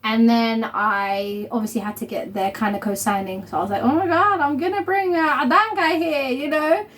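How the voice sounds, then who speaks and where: Young woman rambling on in a couple vlog at home, lots of ums and laughs, voice a bit high. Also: steady tone, moderate pace, a bit bright. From Somali family. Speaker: vlog host. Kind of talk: casual chat.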